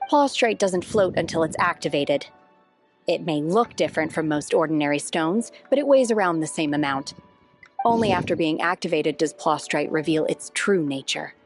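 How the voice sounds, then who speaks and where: A woman playing a composed, aloof young adept in a video game, with a measured, formal and reserved delivery. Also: on the low side, lightly acted. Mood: detached, explaining. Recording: faint game music behind.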